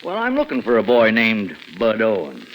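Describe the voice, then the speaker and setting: A man's voice: on the low side, wildly swooping pitch, normal speed. A man with an American accent playing a folksy, persuasive former small-town police chief in a 1940s radio drama. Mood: probing. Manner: folksy, persuasive, hesitant lead-ins. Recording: faintly noisy 1940s radio recording.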